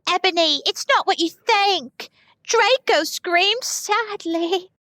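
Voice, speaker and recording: A woman voicing a melodramatic pleading lover in a spoof fan-fiction reading, with an overwrought, drawn-out delivery. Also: very high-pitched, bright, forceful tone, dry recording, studio quality, pitched above the performer's own voice, full character voice.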